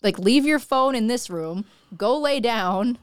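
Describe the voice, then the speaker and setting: A woman co-hosting a casual talk show, teasing her partner in a quick, direct way. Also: fairly high pitch, animated, clear, resonant tone, dry recording, clean studio sound. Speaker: co-host. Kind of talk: casual banter.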